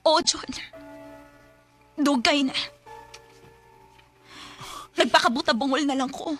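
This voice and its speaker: Anguished, high, piercing Cebuano wife. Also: female voice, takes it slowly, fairly bright tone, soft background music. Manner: emotional, intense. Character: betrayed, anguished wife, resolved to leave. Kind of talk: Bisaya radio drama acting.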